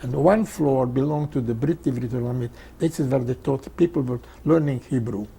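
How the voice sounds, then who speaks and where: Gravelly elderly Hungarian-accented man. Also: medium pitch, moderate pace, dark tone. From Czechoslovakia, Hungarian-speaking. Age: 80s or older. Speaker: Holocaust survivor telling his life story. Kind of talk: oral history interview, personal storytelling.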